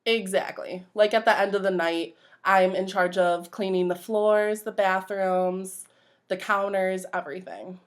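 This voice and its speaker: A young adult woman with a medium-pitched voice answers questions in a job interview. She sounds earnest and slightly nervous, explaining her experience and suitability as an applicant. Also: fairly even intonation, a little slow.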